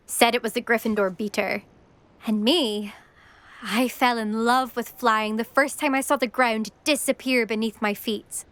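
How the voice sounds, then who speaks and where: Woman acting cocky, high-voiced schoolgirl racer. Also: fairly bright tone, lightly acted. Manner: haughty, brisk, needling. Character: cocky, competitive teenage schoolgirl broom racer, impatient and proud. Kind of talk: video game voice acting. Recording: music behind the voice.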